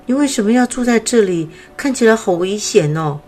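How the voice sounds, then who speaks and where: Woman doing a frail little grey butterfly, high childlike voice, breathless, storybook. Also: expressive, fairly quick, a little mellow, faint music behind. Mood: gentle, chirpy. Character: small sickly female-voiced grey butterfly. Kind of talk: storybook character voice.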